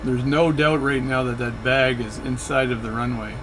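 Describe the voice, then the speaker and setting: A man's voice: medium pitch, steady tone, moderate pace, background noise. A man narrating his flight sim session in a chatty, rambling way, often correcting himself mid-sentence. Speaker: flight sim video creator. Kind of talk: casual narration while playing a flight sim.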